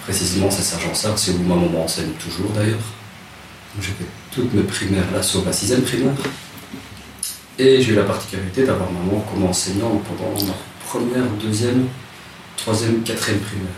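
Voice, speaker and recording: A man, telling his life story in a relaxed interview, hesitant and soft-spoken with many restarts. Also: low-pitched, moderate pace. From Rwanda. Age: 30s. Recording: echoey room, distant mic, noisy.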